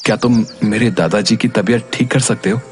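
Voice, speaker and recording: Man acting young fairy-tale hero, brave, earnest, dramatic, slightly low pitch, music playing behind. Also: steady tone, a little fast, close to an everyday voice. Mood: worried, planning. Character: brave, determined young hero seeking a magic gem.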